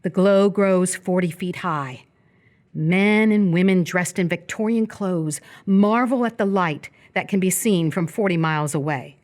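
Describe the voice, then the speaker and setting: Low-pitched woman narrating a radio play. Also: unhurried, darkish tone. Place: live stage performance before an audience.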